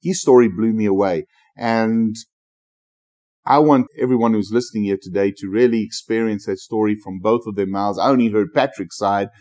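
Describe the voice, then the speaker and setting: Male podcast host, low-ish voice, eager and rambling, casual interviewer. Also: normal speed, darkish tone. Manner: enthusiastic, rambling with restarts.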